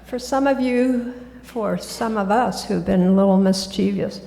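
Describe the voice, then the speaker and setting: A woman giving a gentle, soft-spoken talk as a guest speaker in a church. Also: mid-range voice, unhurried, gravelly. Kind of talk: sermon talk. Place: church sanctuary. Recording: echoey hall.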